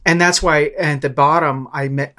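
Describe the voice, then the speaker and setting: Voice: medium pitch, fairly even intonation, moderate pace, sharp tone. A podcast participant with a mid-range voice talks casually and reflectively. They think aloud, feeling for the right words and often restarting a phrase to correct it.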